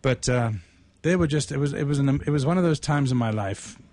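A man's voice: mid-range voice, fairly quick, darkish tone. A man hosting a radio show, telling stories and presenting poetry in a spoken, literary way. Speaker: radio show host and poet. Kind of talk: conversational storytelling and poetry recitation.

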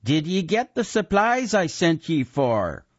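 A man voicing a gruff, ruthless old male wizard who is a talking severed head, bellowing in archaic rustic speech, on a dull lo-fi recording. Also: on the high side, very animated, takes it slowly, no echo, pitched above the performer's own voice, exaggerated voice. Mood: gruff commanding.

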